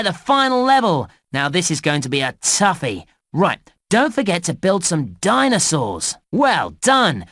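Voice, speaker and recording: Bouncy, very animated kids' game guide. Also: male voice, high voice, no room sound, clean studio sound, exaggerated voice. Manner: bouncy, exclamatory children's presenter delivery. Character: upbeat, encouraging male theme park guide for children. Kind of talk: scripted children's game voice lines.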